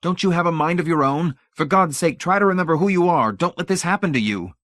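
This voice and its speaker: A man's voice: lowish voice, a little fast, a bit bright, no echo, natural voice. A man voicing a young, exasperated and weary statistician in an audiobook, blunt and emphatic. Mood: outraged, shouting.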